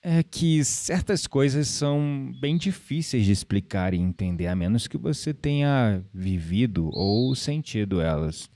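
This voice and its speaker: An actor with a Brazilian accent playing a calm, playful, spiritually minded man in an audio drama. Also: medium pitch, a little slow, few pauses. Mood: earnest, philosophical. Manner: gently playful, reflective, philosophical.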